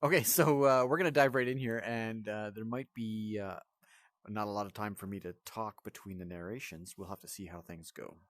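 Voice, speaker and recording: A man's voice: on the low side, steady tone, brisk, a bit bright, no room sound. A man hosting a gaming video with casual, off-the-cuff let's-play commentary.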